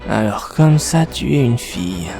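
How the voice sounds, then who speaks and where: A man playing a slow-witted, feared monster king's son with a puzzled manner, in an anime fan dub. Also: mid-range voice, animated, vocal fry, music in the background. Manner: dim, unhurried, puzzled.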